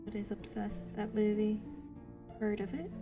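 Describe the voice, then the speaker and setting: A woman's voice: mid-range voice, a little slow, dark tone. A young American woman streaming a game, chatting playfully with viewers and reading story text aloud. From United States. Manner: chatty, playful, talks to chat. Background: game music.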